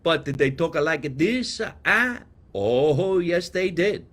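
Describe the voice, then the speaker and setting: A man with a New Jersey accent hosting a casual live podcast, chatting and reading viewer comments in a rambling, animated way. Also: a little high, slow pace. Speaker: podcast host.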